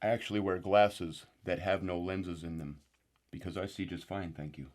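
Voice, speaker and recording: An actor playing a rambling paranoid uncle who is a guest on a conspiracy show, with sudden excitable outbursts. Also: male voice, low voice, normal speed, lightly acted. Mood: rambling indignant. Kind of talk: deadpan parody talk show.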